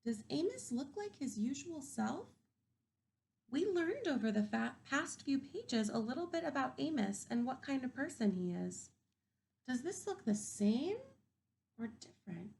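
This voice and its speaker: Woman, teacher, picture-book read-aloud, unhurried pace, noticeable vocal fry, questioning and thinking aloud for small children. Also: high-pitched, big pitch swings, darkish tone, a little hiss or hum.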